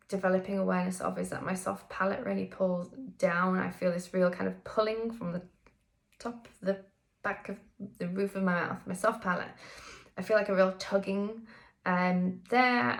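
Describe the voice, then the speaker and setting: Chatty woman vocal coach with gravelly fry. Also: medium pitch. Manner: chatty, candid, frequent ums and self-corrections. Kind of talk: casual personal vlog.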